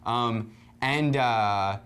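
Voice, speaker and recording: A man hosting a film review show in casual conversation, often halting as he searches for his words. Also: mid-range voice, fairly bright tone, smooth.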